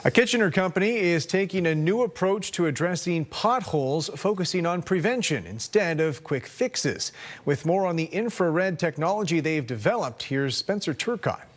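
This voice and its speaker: Canadian male news anchor, higher voice. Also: animated. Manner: crisp, measured newscaster delivery. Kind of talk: scripted news reading. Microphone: studio mic.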